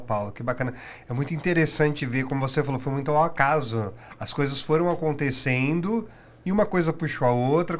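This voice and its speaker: A man hosts a podcast conversation in a mid-pitched voice. He affirms and sums up his guest's story in an encouraging, friendly way. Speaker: podcast host. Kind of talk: interviewer, brief backchannels.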